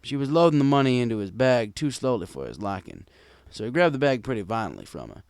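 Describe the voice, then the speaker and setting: Animated male storyteller, wry and conversational. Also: mid-range voice, fast, smooth, no echo, studio quality. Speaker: fan fiction reader. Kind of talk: first-person scripted story narration.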